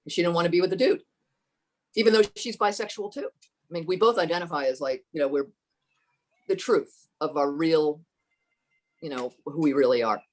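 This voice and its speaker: A woman with a fairly low voice speaks as a podcast guest, thinking aloud and circling back on her words. She is earnest and unhurried and leans into the points she cares about. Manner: thoughtful, halting, with many restarts. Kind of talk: reflective personal storytelling.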